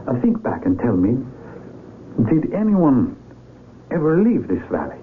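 A man's voice: mid-range voice, wildly swooping pitch, a little slow. A man acting in a radio drama as an earnest outsider among villagers, with an emphatic, dramatic delivery. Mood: insistent, pleading. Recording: tinny radio broadcast with faint noise.

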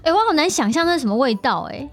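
A woman's voice: high-pitched, animated, racing, faint music behind. A woman chatting casually and livelily as a podcast guest.

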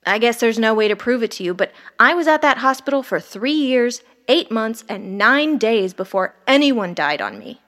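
A woman's voice: fairly high pitch, expressive, moderate pace, hardly any pauses, fairly bright tone. A woman playing an ambitious, detached ER doctor in an audio drama, confessional and intense. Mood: detached, proud. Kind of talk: first-person dramatic narration and dialogue.

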